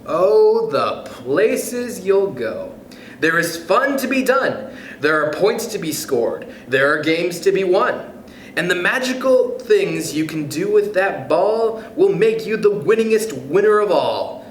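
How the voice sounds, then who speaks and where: Slow, animated man reading to kids. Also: high voice, a little hiss or hum. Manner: expressive, playful, chuckles between passages. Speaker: youth club storyteller. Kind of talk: children's picture book read-aloud. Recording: echoey room.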